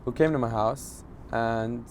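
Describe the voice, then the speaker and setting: A man in his thirties who grew up in Egypt, a journalist telling a personal story in an interview, pausing often to search for words. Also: mid-range voice, darkish tone, slight background noise. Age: 30s. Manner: thoughtful, searching for words, frequent fillers. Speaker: journalist guest.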